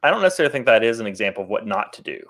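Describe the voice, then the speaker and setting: A man on a casual live-stream panel, hedging his points about gaming groups. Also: fairly low pitch. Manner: quick, lively, hedging.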